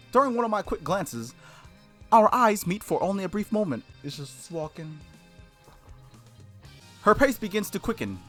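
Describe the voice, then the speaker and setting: Male let's-play host, lively swings in pitch, reading story text with casual asides, music behind. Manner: expressive read-aloud, slangy asides. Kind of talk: reading a visual novel aloud with casual reactions.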